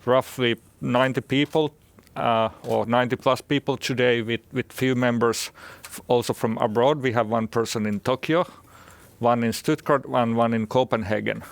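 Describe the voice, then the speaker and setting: A man with a Finnish accent gives a conference presentation as a company executive, speaking haltingly and often repeating words. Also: mid-range voice, steady tone, vocal fry. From Finland.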